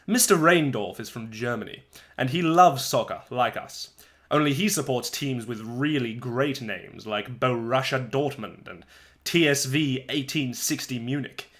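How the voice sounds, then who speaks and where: Storybook narrator: eager, wry eleven-year-old boy played by an adult man, mid-pitched, conversational, full of asides and dramatic pauses. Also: fairly bright tone, lightly acted. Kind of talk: dramatic first-person storybook reading.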